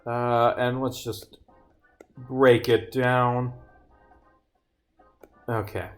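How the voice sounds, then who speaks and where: A man's voice: mid-range voice, little pitch movement, very slow. A male game developer talks casually on a livestream, half to himself. He mutters, exclaims and swears freely as he works, with faint game music under his voice. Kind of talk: casual livestream commentary while bugfixing, reading dialogue aloud.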